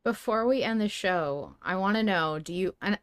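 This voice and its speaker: A woman co-hosting a podcast in casual fan discussion, animated and exclamatory, swearing freely. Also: medium pitch, normal speed, clear, resonant tone. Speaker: podcast co-host.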